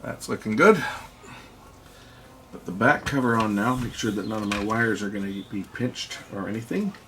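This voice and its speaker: A low-voiced man talks through a hands-on repair in a casual way, partly muttering to himself. His voice has a heavy creak, and the recording carries clear background noise. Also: normal speed, fairly bright tone. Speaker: electronics repair video host.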